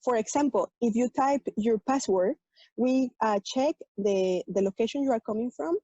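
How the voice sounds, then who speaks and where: Mexican-accented woman, mellow lo-fi call audio. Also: fairly high pitch, normal speed, no echo. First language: Spanish. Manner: earnest, explanatory, with fillers and restarts. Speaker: tech program manager, panel guest. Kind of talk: personal story on a virtual panel. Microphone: video call. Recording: dull lo-fi video call audio.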